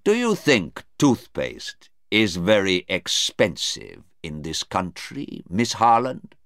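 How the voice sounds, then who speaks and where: A man playing a sarcastic, relentless prosecuting lawyer in an audiobook, deliberate and insistent. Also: low-pitched, huge pitch swings, gravelly, a bit bright, no room sound, studio quality, pitched above the performer's own voice, exaggerated voice. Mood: mocking. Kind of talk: audiobook character voice.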